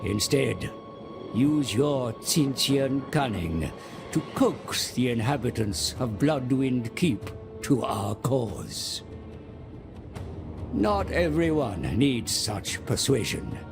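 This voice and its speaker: Gravelly, unhurried scheming advisor. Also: male voice, medium pitch, sing-song, music in the background, exaggerated voice. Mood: coaxing, portentous. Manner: measured, portentous, coaxing. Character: scheming, servile mortal advisor to daemon lords. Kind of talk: dramatic scripted game cutscene narration.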